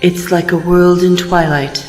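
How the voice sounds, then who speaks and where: Solemn game guide, male actor: higher-pitched voice in long hall reverb. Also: level tone, measured pace, a little mellow. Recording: echoing hall reverb, faint music.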